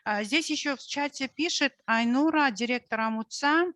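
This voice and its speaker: A woman's voice: sits high, moderate pace, a bit bright, no echo. Woman, moderator, online round table, polite, affirming, dry sound. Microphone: video call audio.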